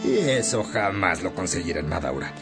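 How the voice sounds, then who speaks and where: A man's voice: deep voice, lively intonation, normal speed, over music. An actor playing a stern, determined father in a radio drama, speaking with emphatic authority. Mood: stern, insistent.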